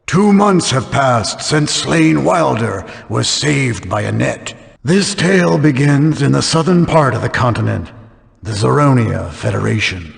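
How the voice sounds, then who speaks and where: A solemn storyteller narrates a fantasy game. His mid-pitched voice is very gravelly and measured. A long hall reverb hangs on every phrase. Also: animated. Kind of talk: scripted narration for a video game.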